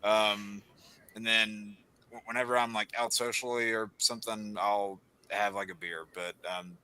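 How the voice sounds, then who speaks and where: A man's voice: lowish voice, little pitch movement, a little slow, pushed and bright, a little hiss or hum, poor audio. A man chatting casually on a group call, rambling and often restarting his sentences.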